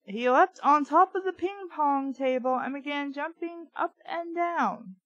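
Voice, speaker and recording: A woman's voice: high-pitched, a little slow, a bit bright, no echo, dull audio. A woman reading a story aloud, breaking in with blunt, sarcastic, casual asides. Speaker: audiobook reader and commentator.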